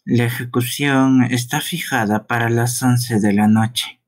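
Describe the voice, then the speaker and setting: A man playing a grave, reluctant police detective in a halting, sombre game fan dub. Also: mid-range voice, steady tone, close to an everyday voice. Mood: grim. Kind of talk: live fan dubbing of a visual novel game.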